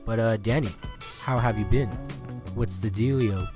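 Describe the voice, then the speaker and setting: A man's voice: lowish voice, normal speed, music in the background. A man hosting a casual sports podcast, rambling and laughing often, with a stuffy nose and a lingering cough. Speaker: podcast host. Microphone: remote call recording.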